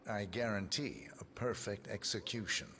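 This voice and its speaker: Cool deferential operative, deep, sharp-edged, controlled, formal, video game, soft music. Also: male voice, normal speed. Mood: cool, assured.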